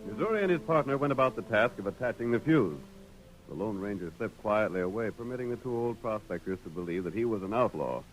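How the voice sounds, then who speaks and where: A man's voice: lowish voice, lively intonation, quick pace, faint music behind, natural voice, poor audio. An American man narrating a 1930s radio drama in scripted storytelling style.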